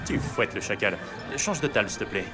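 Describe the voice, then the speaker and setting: An actor playing a sneering, contemptuous cop in a French audio drama. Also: male voice, on the low side, animated, fairly quick, lightly acted. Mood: scornful. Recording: loud music over the voice.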